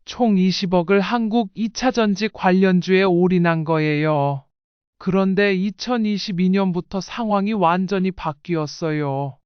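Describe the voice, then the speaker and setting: A woman's voice: fairly low pitch, measured pace, clean tone, dry recording, dull audio. A woman reading a scripted first-person life story in audiobook style. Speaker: narrator.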